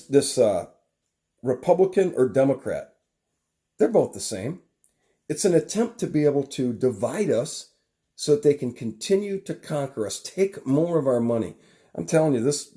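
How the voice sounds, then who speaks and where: A man's voice: mid-range voice, dark tone. A man giving an earnest, rambling political monologue with many restarts.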